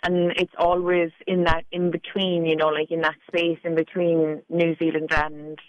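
A woman's voice: low-pitched, level tone, moderate pace, no room sound. Chatty Cork Irish woman, dry and dull. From Ireland. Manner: chatty, many "like"s and "you know"s. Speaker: poet guest. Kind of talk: casual radio interview.

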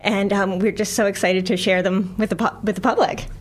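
A woman's voice: mid-range voice, steady tone, fairly quick. A middle-aged American woman from the United States, a science filmmaker talking as a radio guest, enthusiastic with frequent laughs and many fillers. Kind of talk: radio interview.

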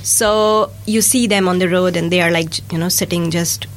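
A woman's voice: on the low side, fairly even intonation, normal speed, slight background noise, poor audio. A woman guest in a casual radio chat, earnest and easygoing, with many fillers. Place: radio studio.

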